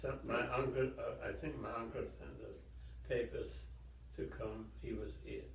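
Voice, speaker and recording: Slow, halting old German-born man. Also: mid-range voice, fairly even intonation, slight background noise. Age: 90s. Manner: halting, with pauses and fillers. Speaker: interviewee, resident. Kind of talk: recounting life story. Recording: echoey room, mic far off, faint ambience.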